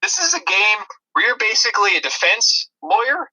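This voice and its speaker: Very bright, higher-voiced man chatting. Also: very animated, moderate pace, slightly rough, rough recording. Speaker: podcast co-host. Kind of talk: casual chat about video-game movies.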